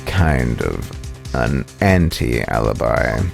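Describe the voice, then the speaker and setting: A man's voice: a bass voice, sing-song, extremely slow, creaky, over music, voice pushed down, full character voice. A man voicing a smug, menacing rival prosecutor in a video game, with a drawling, taunting manner. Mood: smug, accusing.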